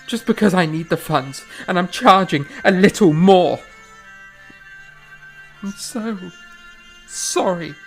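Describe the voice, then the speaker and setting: A woman's voice: lowish voice, expressive, takes it slowly, long pauses, faint music behind. A woman voicing a remorseful, hot-tempered young male composer who stammers, pleads and lashes out at himself in a stage-play style. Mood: frustrated. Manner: stammering, pleading, breaks into frantic self-scolding.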